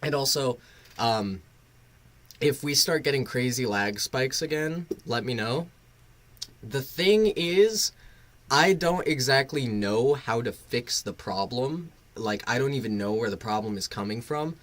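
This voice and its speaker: A man's voice: mid-range voice, animated, a little slow, fairly bright tone. A man hosting a casual live reaction stream, rambling and swearing, bluntly critical with a sarcastic, self-deprecating streak. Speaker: livestream host and reviewer.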